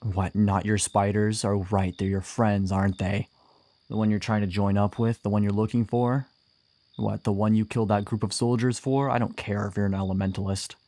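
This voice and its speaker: A man playing a gruff, wry, flirtatious monster hunter and bounty hunter in an immersive fantasy audio roleplay. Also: on the low side, little pitch movement, moderate pace, dark tone, dry recording, lightly acted. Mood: threatening, weary. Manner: teasing, wry, swearing, sighing.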